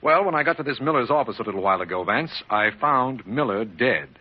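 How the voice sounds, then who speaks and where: Quick, husky no-nonsense district attorney. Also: male voice, medium pitch, lively intonation. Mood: urgent. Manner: clipped, official, emphatic. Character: brisk, businesslike, no-nonsense male district attorney. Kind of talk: old-time radio detective drama acting. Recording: dull, lo-fi vintage radio recording.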